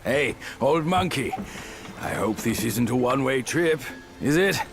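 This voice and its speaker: A man's voice: medium pitch, animated, a little slow, creaky, over music. A man voicing a cheeky, good-natured companion creature in a video game, chatty, playful and irreverent. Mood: teasing, curious. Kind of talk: video game cutscene acting.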